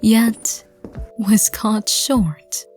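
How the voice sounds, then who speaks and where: A woman narrating a scripted audio roleplay in a soft, breathy, whispery voice. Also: on the high side, very slow, soft background music.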